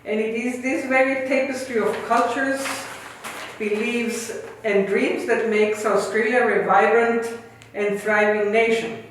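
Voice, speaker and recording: A woman's voice: on the high side, fairly even intonation, unhurried, slight background noise. A woman in her fifties from Guatemala with a Guatemalan Spanish accent, earnestly telling a prepared personal story in formal phrasing as an interview guest. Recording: echoey room.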